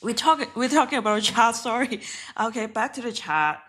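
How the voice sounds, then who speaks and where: Bright-voiced woman chatting through live trades. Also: on the high side, animated, moderate pace. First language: Cantonese. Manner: chatty and candid, swears offhandedly, often laughs. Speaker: live-streaming day trader. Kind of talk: casual live trading commentary.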